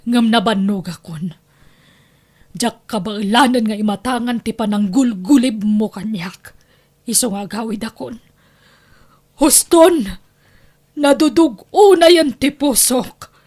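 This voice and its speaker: A woman's voice: a little high, takes it slowly, long pauses, a little mellow. A woman playing a long-suffering, betrayed wife and mother in an Ilocano radio drama, emotional and pleading. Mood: weary, hurt.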